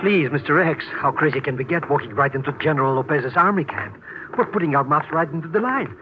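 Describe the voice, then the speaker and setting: A man's voice: a little high, fast talker, muffled recording, over music, voice pushed up, lightly acted. An actor playing a nervous, wisecracking male sidekick in an old radio drama. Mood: jittery. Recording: hissy old radio recording.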